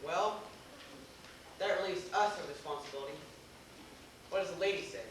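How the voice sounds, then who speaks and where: A man's voice: high voice, normal speed, close to an everyday voice. A man acting a dry, courtly middle-aged father in a stage comedy, formal and wry. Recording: echoey theater, distant camera, faint hiss.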